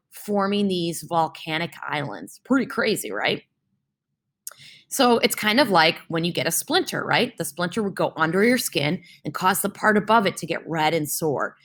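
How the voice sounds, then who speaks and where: A woman's voice: on the low side, a bit bright. A young woman teacher reading a science lesson aloud for her class, explaining with homely comparisons and often checking in with "right?".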